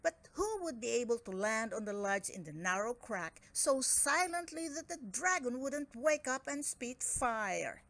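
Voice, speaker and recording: A woman reading a children's story aloud in an expressive, deliberate storytelling manner. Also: fairly high pitch. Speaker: storybook reader.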